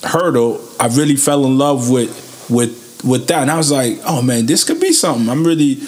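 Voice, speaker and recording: A young man interviewing a guest in a relaxed, joking way with plenty of slang. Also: medium pitch, normal speed. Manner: laid-back, joking, slangy. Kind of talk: casual interview chat.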